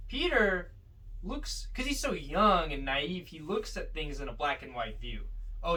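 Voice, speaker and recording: A man's voice: fairly high pitch, bright, forceful tone. A man co-hosting a podcast, discussing films casually with informal enthusiasm. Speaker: podcast co-host. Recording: roomy, distant from the mic.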